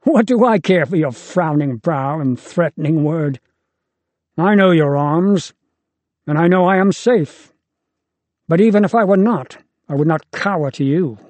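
A man's voice: a little high, lively intonation, unhurried, darkish tone, dry recording, pitched above the performer's own voice. A man voicing a proud, defiant male angel, speaking in a lofty, contemptuous, declamatory way. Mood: defiant, scornful. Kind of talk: audiobook character reading.

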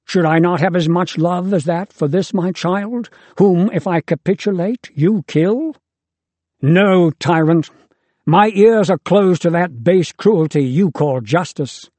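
A man's voice: high voice, slow pace, darkish tone, dry recording, pitched above the performer's own voice. A man voicing a proud, defiant male angel, speaking in a lofty, contemptuous, declamatory way. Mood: defiant, scornful. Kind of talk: audiobook character reading.

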